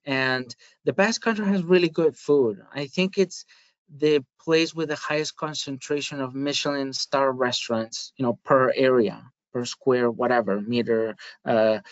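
A man from Spain with a Spanish accent, answering interview questions in a thoughtful way with many pauses and restarts. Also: medium pitch, dry recording. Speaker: guest, market research manager. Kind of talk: podcast interview. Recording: dry, slightly dull audio.

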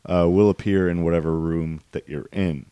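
A man with a fairly low voice runs a goofy improvised podcast. He talks fast, laughs often and plays up mock panic. The sound is close and dry. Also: fairly even intonation, warm tone, smooth, dry recording. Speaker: podcast host.

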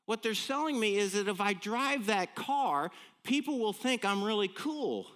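A man's voice: high voice, a little slow. A man of fifty-nine with an American accent, preaching in a conversational, folksy, humorous storytelling way with rhetorical questions. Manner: animated, folksy, self-deprecating, punctuated with rhetorical questions.

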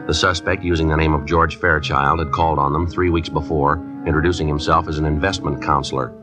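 An American actor playing a terse, businesslike police detective in a 1950s radio drama, with clipped, matter-of-fact delivery. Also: male voice, very deep voice, steady tone, a little fast. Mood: flat, matter-of-fact. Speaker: detective and narrator. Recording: 1950s radio recording with music.

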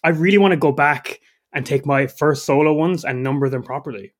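A man from Ireland co-hosting a casual podcast, a bit sniffly with a cold, self-mocking and quick to laugh. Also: on the high side. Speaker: podcast co-host.

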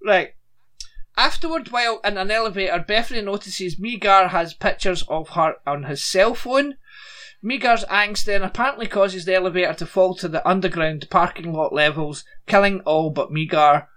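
Brief: podcast co-host, 40s, male, rambly and dry, higher voice with bright tone. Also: moderate pace. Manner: rambling, dry, laughs often. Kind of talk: casual chat.

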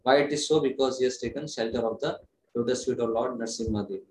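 A man's voice: mid-range voice, fast. A man preaching on scripture to an online class, explaining earnestly, restarting his phrases as he goes. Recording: roomy echo with faint noise.

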